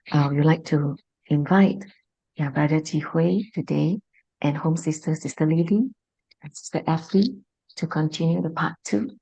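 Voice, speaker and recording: A hoarse woman moderating an online meeting, polite and halting with many fillers as she introduces the next speakers. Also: low voice, warm tone, low-quality recording. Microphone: video call.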